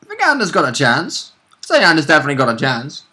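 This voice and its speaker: A man's voice: a little high, huge pitch swings, fast, sharp tone. A man co-hosting a gaming vidcast with casual banter, chipping in bluntly and jokingly. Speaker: co-host of a tabletop roleplaying vidcast.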